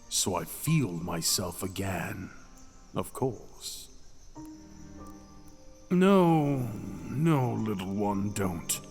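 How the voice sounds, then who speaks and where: A man voicing an ancient, mad captive dragon king for an intimate ASMR roleplay, seductive, possessive and menacing, with teasing, drawn-out phrasing. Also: lowish voice, full character voice. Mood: coaxing, brooding. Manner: languid, teasing, drawn-out phrasing with dramatic pauses. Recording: long cavernous reverb, music behind.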